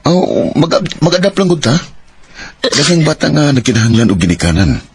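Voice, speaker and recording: An actor playing a devoted, hopeful young husband in a Filipino radio drama, speaking with a Filipino accent. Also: male voice, on the high side, sing-song, moderate pace, a little mellow, slight background noise. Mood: consoling.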